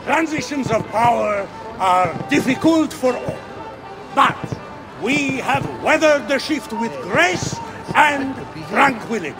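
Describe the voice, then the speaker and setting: A man's voice: very high voice, lively intonation, slow, exaggerated voice, poor audio. An actor playing a grandiose Venetian nobleman, declaiming a pompous public speech in a video game. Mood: solemn, orating. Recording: voice under loud game music.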